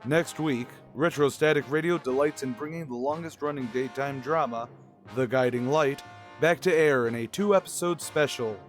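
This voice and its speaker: A man's voice: medium pitch, normal speed, faint music behind. A man reading scripted announcements as the announcer of a radio show.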